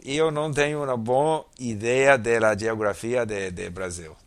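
A man whose first language is English, hosting in halting learner's Portuguese with Spanish slips and lots of fillers as he searches for words. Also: mid-range voice, fairly even intonation, clear, resonant tone, dry recording. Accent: English-speaking learner of Portuguese, Spanish slips. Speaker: podcast host. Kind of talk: casual conversation.

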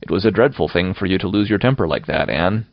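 A man's voice: low voice, lively intonation, fast, creaky, no room sound, lo-fi sound. A man voicing a severe, dry middle-aged woman guardian who scolds in a storybook reading. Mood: scolding.

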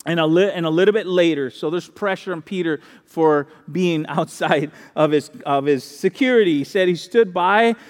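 A man with a high voice preaches a sermon in a lively, conversational way. He grew up in the Dominican Republic and often repeats key lines for emphasis. His voice carries a long reverb, as in a large hall. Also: normal speed, few pauses. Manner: animated, conversational preaching with repetition and asides. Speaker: pastor.

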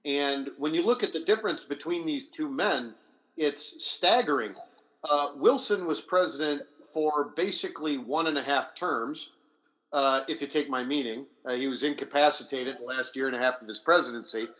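A man speaking off the cuff as a history scholar in a panel discussion, explaining deliberately with halting restarts and repeated phrases. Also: on the high side, a bit bright, low-quality recording.

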